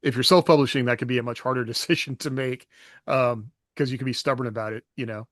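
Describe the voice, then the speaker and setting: Mid-pitched male co-host, thoughtful and halting, dry close sound. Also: fairly even intonation, a bit bright, no room sound. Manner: reflective, hesitant, laughs often. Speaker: podcast co-host, author. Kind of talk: casual chat.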